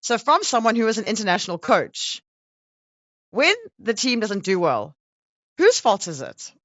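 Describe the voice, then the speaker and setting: A woman's voice: mid-range voice, very animated, normal speed, fairly bright tone, no echo, dull audio. A woman interviewing a guest in a casual, conversational way.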